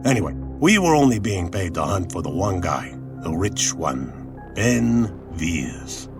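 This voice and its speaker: A man's voice: low voice, sing-song, unhurried, music in the background, full character voice. An actor playing a wry private detective narrating his case in an audio drama, dry and colloquial. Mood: reflective, dry. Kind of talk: audio drama acting and first-person narration.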